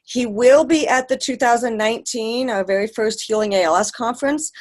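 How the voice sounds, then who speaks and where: A woman's voice: fairly high pitch, a little fast. A woman hosting a webinar, introducing her guest in a friendly, loose way with fillers. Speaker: webinar host.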